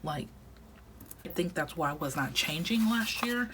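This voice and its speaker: A low-pitched woman in her thirties talks through her planner in a relaxed, rambling way, slipping into hushed near-whispers as she works. Her voice has turned slightly raspy from recording all day. A steady hiss of background noise sits under the home recording. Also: measured pace. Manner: chatty, reflective, drifts into soft half-whispers. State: voice getting raspy from long recording. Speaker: planner vlogger. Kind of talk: casual plan-with-me chat.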